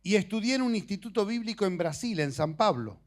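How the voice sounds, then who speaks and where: A middle-aged male preacher with a notably high-pitched voice, a native speaker of Portuguese. He delivers an informal sermon built on personal stories, talking conversationally and haltingly, with joking asides. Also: expressive. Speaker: preacher and missionary.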